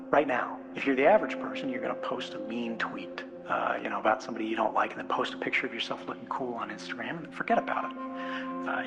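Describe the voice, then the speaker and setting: A man giving an earnest, halting political speech to a room, often posing rhetorical questions. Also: mid-range voice, creaky. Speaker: public speaker. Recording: loud music under a speech. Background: loud background music.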